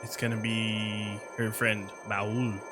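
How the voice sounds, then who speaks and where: A man does casual live game commentary with playful, slangy reactions to what is on screen. Game music plays clearly behind him. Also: on the low side, little pitch movement, slow pace. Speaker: game streamer.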